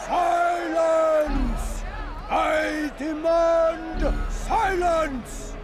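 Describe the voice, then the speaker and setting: A man's voice: very high-pitched, steady tone, raised voice, loud music behind the voice, over a noisy crowd, exaggerated voice. A man playing a cruel, power-drunk zealot executioner in a video game, speaking in declamatory, theatrical oratory. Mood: fiery, commanding. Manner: declamatory, preaching, drawn-out emphatic phrasing.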